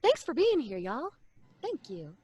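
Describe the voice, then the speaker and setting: Lively Texan woman, high voice. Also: measured pace. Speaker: voice actor guest. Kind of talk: casual chat on a live stream.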